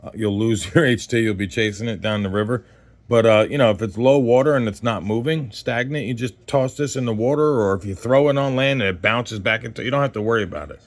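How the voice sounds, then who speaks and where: A man's voice: mid-range voice, fast talker. A man in his forties giving a casual, unscripted gear review as host, in a rambling conversational way that trails off mid-thought. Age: 40s.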